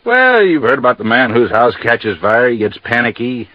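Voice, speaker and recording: An American actor playing a matter-of-fact, folksy Coast Guard captain explaining things in a 1950s radio drama. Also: male voice, mid-range voice, very animated, normal speed, no room sound, close to an everyday voice. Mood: chatty. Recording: dull, dry vintage radio recording.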